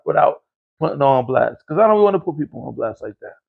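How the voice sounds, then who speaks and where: A man's voice: a little high, lively intonation, quick pace, gravelly, narrow, tinny audio. A man in his late forties hosting a podcast, telling a long, rambling, profane story in casual chat. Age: 40s. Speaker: podcast host.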